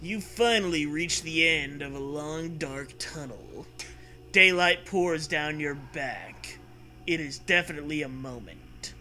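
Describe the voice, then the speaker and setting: A man's voice: on the high side, slow pace, bright, forceful tone, a little hiss or hum. A man co-hosting and reading a comic gamebook aloud, mixing narration with casual, irreverent banter. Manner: playful, irreverent.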